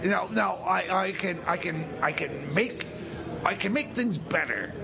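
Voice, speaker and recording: A man's voice: high-pitched, lively intonation, creaky, highs cut off, background noise, heavily acted. A man playing a pushy, scheming, bumbling prison officer in comedy radio theater, stammering and repeating himself. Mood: coaxing. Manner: stammering, repetitive, halting. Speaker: radio skit actor.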